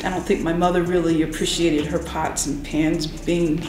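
A woman's voice: deep voice, flat delivery, normal speed. A middle-aged woman from Rhode Island, a singer chatting as an interview guest, with lots of ums and laughs. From Rhode Island, USA. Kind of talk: casual interview chat. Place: hotel room, indoors. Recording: roomy hotel room echo.

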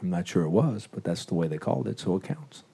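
A man, a head football coach, answering press questions after a game in a measured, blunt way, with fillers. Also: low voice, lively intonation, vocal fry, warm tone, slightly rough. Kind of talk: postgame press conference answers.

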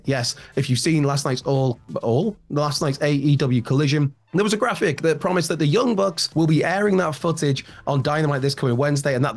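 A man in his thirties hosting a solo wrestling news show, his voice slightly hoarse and raspy, chatty and rambling with fillers and asides, recorded at home on a studio mic. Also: medium pitch. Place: home room with shelves, indoors. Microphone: large studio mic in front, headphones.

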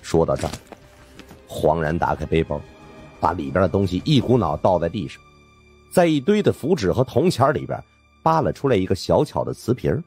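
A man's voice: sits low, very animated, a little fast, darkish tone, soft background music. A man giving dramatic scripted narration of supernatural suspense fiction as an audiobook narrator.

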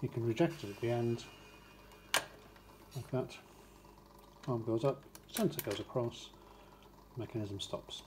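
A man with a mid-pitched voice explains a piece of machinery step by step. He speaks in short, plain instructions, as if working with his hands as he goes. There is clear background noise with small mechanical clicks. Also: a little slow, pauses often. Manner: plain, matter-of-fact instructions in short phrases. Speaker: demonstrator of a vintage record player. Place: indoors, beside a wooden radiogram.

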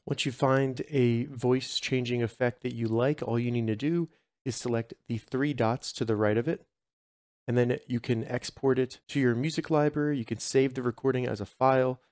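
A man hosting a tech tutorial, giving clear step-by-step instructions in scripted narration. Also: mid-range voice, steady tone, moderate pace, darkish tone, no room sound, studio quality. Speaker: host of tech tutorial channel.

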